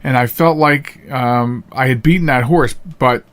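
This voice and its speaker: A man's voice: medium pitch, a little slow, fairly bright tone. A man hosting a podcast with casual, chatty monologues to listeners, talking in a folksy, rambling showman's way. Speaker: podcast host.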